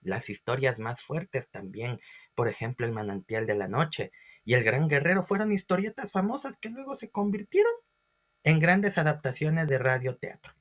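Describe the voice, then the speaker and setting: A man's voice: mid-range voice, very animated, normal speed, a bit bright. A man giving a history lecture as a storyteller, with theatrical emphasis and questions to his listeners. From Ecuador. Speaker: history teacher.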